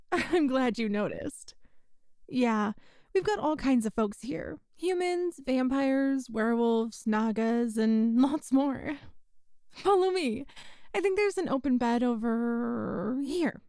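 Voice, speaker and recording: A woman playing a kind, earnest human rescuer in an ASMR roleplay, speaking in a gentle, reassuring, folksy way to the listener. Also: on the high side, slow, clean tone, no room sound, clean studio sound. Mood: friendly, caring.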